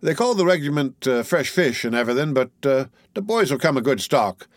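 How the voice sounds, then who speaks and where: A man's voice: mid-range voice, lively intonation, fairly quick, no room sound, clean studio sound, pitched above the performer's own voice, lightly acted. A man reading aloud and voicing a boastful tall young soldier who talks in a drawling, folksy way. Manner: drawling, folksy, sure of himself.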